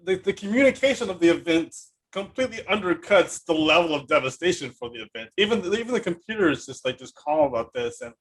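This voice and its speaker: Higher-voiced, husky man hosting casually. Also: animated, quick pace, few pauses, low-quality recording. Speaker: podcast host. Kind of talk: casual interview chat.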